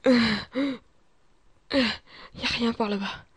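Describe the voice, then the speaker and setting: A woman with a fairly high voice plays a survival game in character. She talks to herself slowly, in short muttered bursts that slip between whispers and exclamations. The sound is very dry, with no room echo. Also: steady tone. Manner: muttering, often dropping into breathy whispers, with exclamations. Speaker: gamer playing a role-play survival series. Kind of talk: in-character gameplay commentary, muttering to self.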